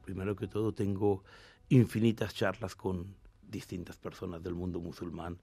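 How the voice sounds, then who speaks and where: Gravelly rabbi, Mexican Spanish accent. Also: male voice, low voice, flat delivery, mellow, dry recording, faint music behind. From Argentina. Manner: measured, explanatory, with restarts. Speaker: rabbi, interview guest. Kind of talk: interview answers.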